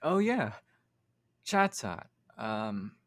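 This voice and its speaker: A man voicing an eager, friendly young creature in an animated comic dub, earnest and chatty. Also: fairly low pitch, drawn-out words, dry recording, studio quality, exaggerated voice. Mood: eager, urgent.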